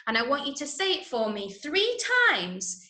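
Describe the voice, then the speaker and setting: A woman with an English accent leading an online drama workshop for children, giving clear instructions and often checking in. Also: high voice, sing-song, normal speed, pushed and bright. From Manchester, England. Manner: clearly instructional, frequent check-ins with okay and right. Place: indoors, online video session, roomy sound. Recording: roomy echo on online call.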